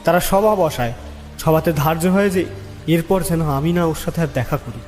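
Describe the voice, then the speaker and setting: A man's voice: fairly high pitch, expressive, brisk, darkish tone, soft background music, lightly acted. A man playing a lovelorn young village man, earnestly recounting his troubles in an audio drama. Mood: troubled recounting. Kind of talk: dramatic first-person storytelling.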